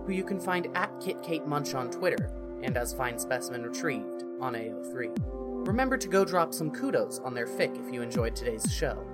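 A woman's voice: very deep voice, big pitch swings, moderate pace. A woman podcast announcer reading scripted show credits. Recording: voice over loud music.

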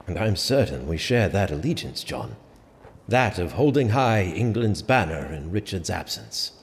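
An actor playing a smug, self-assured sheriff in a medieval audio drama, talking in an urbane, teasing manner. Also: male voice, low voice, sing-song, measured pace, a little hiss or hum, full character voice. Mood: loyal, courtly. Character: smug, confident male sheriff, a prince's trusted friend. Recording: reverberant hall with faint music behind.

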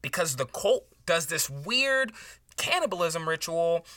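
Earnest book podcast dude, super bright punchy voice, bit distant from mic, rambly casual improv comedy chat. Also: male voice, on the high side, very animated, unhurried, no echo, studio quality, exaggerated voice. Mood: excited. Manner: rambling, hedging plot recap full of "you know". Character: earnest male amateur book podcaster, eager writer friend. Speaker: co-host of a book review podcast.

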